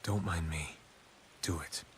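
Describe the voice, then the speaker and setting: A man's voice: low-pitched, fairly even intonation, takes it slowly, fairly bright tone, slight background noise. An actor playing a weary, grieving but resolute man in a video game drama. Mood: sorrowful.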